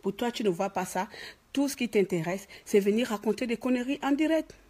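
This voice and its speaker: A woman's voice: on the high side, fairly quick, warm tone. A woman from Gabon with a Gabonese French accent, scolding and mocking in an emphatic political rant. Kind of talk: ranting political commentary to camera. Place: office.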